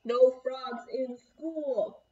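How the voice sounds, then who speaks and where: Strict male teacher from a kids book, done by a woman, high voice, laying down rules emphatically. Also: fairly even intonation, very slow, dark tone, husky, lo-fi sound, exaggerated voice. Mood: stern, emphatic.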